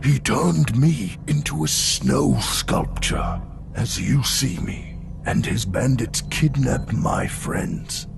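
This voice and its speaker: A man voicing a gigantic, gentle abominable snowman in an audio storybook, solemn and gravelly, with a halting, drawn-out delivery. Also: medium pitch, lively intonation, noisy background, exaggerated voice. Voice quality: gravelly, creaky.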